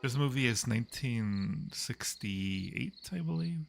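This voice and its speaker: A man's voice: mid-range voice, lively intonation, slow, vocal fry. A man hosting a livestream, giving casual, joking commentary over a film. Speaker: livestream host. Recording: loud film soundtrack behind the voice. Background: old horror film playing with music.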